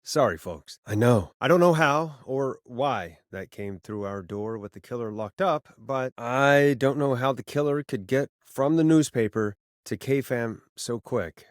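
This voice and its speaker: A man's voice: medium pitch, unhurried, hardly any pauses, dry recording, clean studio sound, natural voice. An actor playing a sardonic, easily exasperated male late-night radio host in a video game, with a wry, conversational delivery. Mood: apologetic, uneasy.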